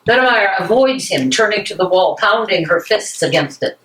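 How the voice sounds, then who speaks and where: A woman's voice: mid-range voice, expressive, moderate pace, a bit bright. A woman reading stage directions as narrator at a live play reading, in a scripted narration style. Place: library meeting room, live reading. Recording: echoey room, distant from mic.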